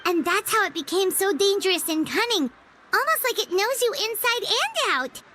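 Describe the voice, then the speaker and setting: A woman voicing a tiny, childlike fairy companion in an anime fantasy game, squeaky, earnest and inquisitive. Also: sing-song, normal speed, noisy background. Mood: curious. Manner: refers to self in third person, inquisitive. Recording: music behind the voice.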